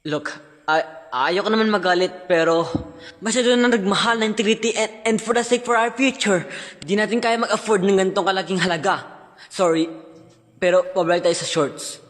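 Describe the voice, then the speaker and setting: Man playing a high-pitched animatronic bear, earnest team leader, preachy, roomy echo. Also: steady tone, moderate pace, fairly bright tone. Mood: fretful. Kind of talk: cartoon fan-dub acting.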